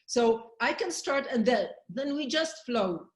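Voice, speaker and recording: A woman's voice: a little high, lively intonation, measured pace, reverberant. Woman hosting an online webinar. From Poland. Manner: friendly, guiding, laughs often. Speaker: webinar host and speaker. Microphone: video call.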